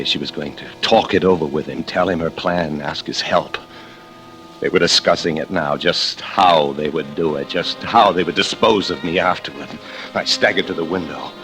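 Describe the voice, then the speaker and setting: An actor playing a desperate killer in hiding who fears his wife, in halting, theatrical 1940s radio suspense style. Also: low voice, a bit bright, rough recording. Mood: grim dread. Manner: theatrical, halting, repeats words in dread. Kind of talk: 1940s radio drama acting, first-person suspense narration. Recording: old radio recording with organ music.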